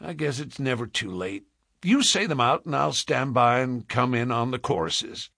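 A man voicing a weary, hardened adventurer who speaks gruffly in plain, rustic frontier speech, as in a storybook. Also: lively intonation, normal speed, sharp tone, dry recording, clean studio sound, exaggerated voice. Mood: diffident, earnest. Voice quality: deep, harsh voice.

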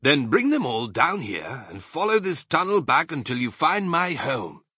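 A man's voice: medium pitch, sing-song, a little slow, pushed and bright, breathy edge, no echo, studio quality, pitched above the performer's own voice, exaggerated voice. A man voicing a sly, courteous fox father in a children's storybook, suave and savouring his words. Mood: conspiratorial.

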